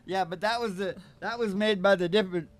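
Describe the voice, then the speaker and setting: A man's voice: high voice, a little fast. An older man hosting a radio show, telling stories comically in a rambling, folksy, irreverent way. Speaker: radio show host and singing guitarist. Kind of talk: comic storytelling and banter.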